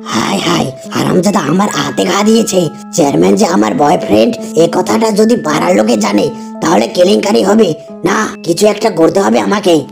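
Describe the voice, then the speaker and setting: A woman's voice: medium pitch, moderate pace, creaky, music in the background. A woman voicing a shrewish cartoon tigress mother, indignant, self-important and scheming. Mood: alarmed scheming.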